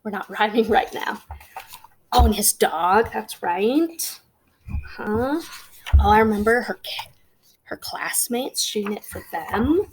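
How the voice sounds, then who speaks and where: A woman's voice: medium pitch, slow pace, long pauses, slight background noise, poor audio. A woman guiding young children through a storybook, asking simple questions as a teacher would. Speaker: teacher leading a children's read-aloud.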